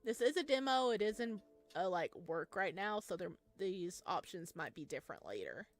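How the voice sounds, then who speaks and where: A woman's voice: mid-range voice, sing-song, normal speed. Woman playing and narrating a game, music behind. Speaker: video game player reading aloud and commenting. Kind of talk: casual let's-play commentary with dramatic read-aloud narration.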